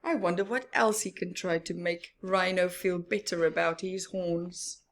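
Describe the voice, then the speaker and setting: A woman reading a picture book aloud expressively for young children, pausing for asides to her listeners. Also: fairly low pitch, steady tone. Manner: slow, deliberate storyteller addressing small children. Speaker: children's story reader.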